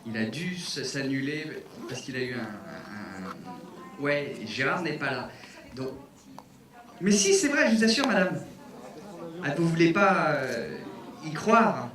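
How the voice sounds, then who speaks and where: A man's voice: on the high side, slow pace. A man presenting a film premiere on stage, joking playfully with the audience. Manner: playful, lively, feigning surprise. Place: cinema theater in front of an audience. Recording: echoey cinema hall, distant mic, crowd.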